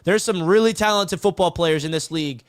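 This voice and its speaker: Hoarse, hyped man hosting a sports stream. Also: sits high, a little fast, no echo, clean studio sound. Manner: hyped, casual, repeats "man". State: raspy voice from yelling. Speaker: sports podcast host. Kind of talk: live sports talk stream. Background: soft background music.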